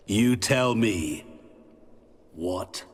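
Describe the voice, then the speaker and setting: An actor playing a sinister, playful immortal devil in human guise in a video game, taunting with riddles and savoring every word. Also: male voice, on the low side, lively intonation, very slow, breathy edge, heavily acted. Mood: riddling, theatrical. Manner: theatrical, mocking, savoring words. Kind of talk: dramatic video game acting.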